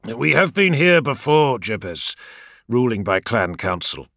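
A man voicing a proud, fiery male war leader who speaks in a raised, forceful way. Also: medium pitch, sing-song, unhurried, vocal fry, no echo, clean studio sound, pitched above the performer's own voice, exaggerated voice. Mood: angry. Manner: forceful, raised, impassioned delivery.

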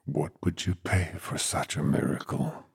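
Very deep, gravelly, menacing sorcerer. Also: male voice, dry recording, clean studio sound, heavily acted. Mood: cold bargaining. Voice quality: gravelly, husky, breathy near-whisper. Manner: slow, drawn-out, menacing. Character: sinister hooded sorcerer, corpse-faced, cold and menacing.

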